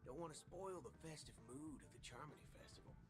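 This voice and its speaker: A man's voice: fairly high pitch, fast talker, lightly acted. A man playing a cocky, roguish space gunslinger in a game, with a swaggering, folksy way of talking. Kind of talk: dramatic game dialogue. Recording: music behind the voice.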